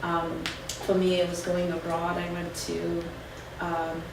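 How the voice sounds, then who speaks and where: A woman's voice: on the low side, completely flat delivery, unhurried. A woman on a career panel who gives casual, chatty advice with many fillers. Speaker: alumna panelist. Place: lecture room. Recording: echoey room, distant mic, background noise.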